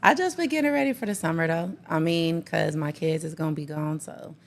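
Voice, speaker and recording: Woman, casual chat guest, deep voice, gravelly, blunt, laid-back. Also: brisk. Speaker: guest co-host.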